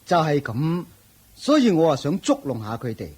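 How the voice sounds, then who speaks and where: An actor playing a wily, boastful self-made rich man in a Hong Kong Cantonese radio drama, with a rough husky voice. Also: on the high side, very animated, normal speed, a little mellow. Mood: sly, confident.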